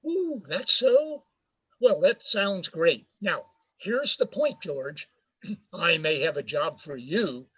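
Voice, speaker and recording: A man's voice: fairly high pitch, big pitch swings, slow, fairly bright tone, husky, full character voice. A man acting a brash, teasing, jovial businessman in an amateur radio play, heard over a video call. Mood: smug. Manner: hearty, teasing, boastful. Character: brash, jovial male businessman, George's old pal. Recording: muffled video call audio.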